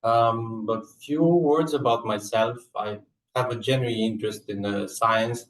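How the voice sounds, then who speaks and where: A man with a Romanian accent giving a scientific slide presentation in a halting, hesitant way with frequent filled pauses. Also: medium pitch, slight background noise. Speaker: research scientist and lab coordinator presenting. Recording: echoey room, distant microphone.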